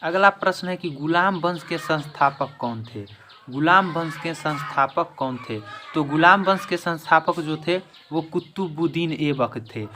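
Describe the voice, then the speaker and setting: A man's voice: a little high, measured pace, sharp tone, a little hiss or hum. A man giving a quiz-style history lesson as an exam-prep teacher, restating each question and answer.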